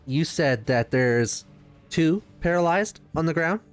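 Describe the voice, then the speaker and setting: A man's voice: on the high side, expressive, slow, smooth, soft background music. A man playing a tabletop game, chatting casually in a playful, jokey way and swearing freely. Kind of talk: casual excited game chat.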